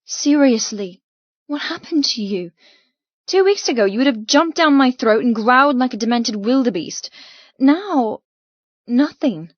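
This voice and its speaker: A woman acting audiobook dialogue as a defiant, hurt, sharp-tongued young woman, confrontational and emotional. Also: fairly high pitch, animated, measured pace, no echo, highs cut off. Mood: exasperated, defiant.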